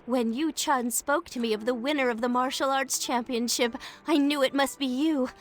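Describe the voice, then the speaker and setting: A woman voicing a calm, formal noble ally in a game, speaking in a measured way. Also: high-pitched, faint music behind, lightly acted.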